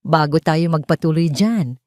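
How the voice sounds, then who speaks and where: A cold, controlled male lawyer in an audio drama, acted out by a woman narrator. The voice is low and formal, with measured delivery and a very dry sound. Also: a little mellow, no room sound. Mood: calm. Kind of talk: Tagalog audio drama storytelling.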